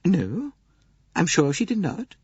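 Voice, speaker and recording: A man voicing a gentle Swedish lady. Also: high voice, wildly swooping pitch, slow, slightly rough, no room sound, voice pushed up, full character voice. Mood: earnest. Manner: soft, earnest, precise formal phrasing. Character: kindly pious middle-aged Swedish woman, gentle. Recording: dull dry studio recording.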